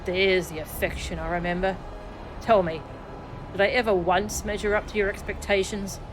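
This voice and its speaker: A woman's voice: medium pitch, little pitch movement, moderate pace, natural voice, poor audio. A woman voicing a wounded, defiant grown son in a fantasy game, emphatic and accusing. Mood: bitter. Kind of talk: theatrical read-aloud of fantasy game dialogue. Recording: music behind the voice.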